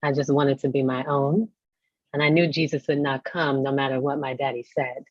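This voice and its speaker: A woman's voice: rumbling low, fairly even intonation, moderate pace, no echo. A woman speaking reflectively in a literary panel discussion. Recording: dull lo-fi audio.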